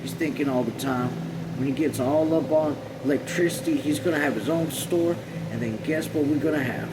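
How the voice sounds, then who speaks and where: A man's voice: fairly high pitch, fairly quick, recorded from a distance, heavy background noise, lightly acted. A man voicing an earnest, nervous young country woman in a folksy rural dialect while reading a novel aloud. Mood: eager. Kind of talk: novel read aloud in character.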